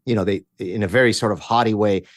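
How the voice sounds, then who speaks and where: A man's voice: fairly low pitch, fairly even intonation, fast talker, no echo, clean studio sound. A man in his fifties from Lebanon, a guest telling stories of his childhood in a wry, self-mocking way with many restarts. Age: 50s. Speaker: guest author. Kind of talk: conversational interview storytelling.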